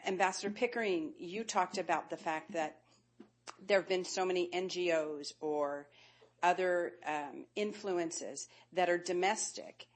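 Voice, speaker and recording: A woman in the audience at a panel event, asking a long, winding question in a friendly way over a handheld microphone. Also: fairly low pitch.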